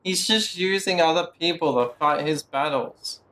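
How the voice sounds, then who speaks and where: A man's voice: on the high side, normal speed, pushed and bright, slight background noise. A man giving casual, mocking, profane live commentary as a game streamer.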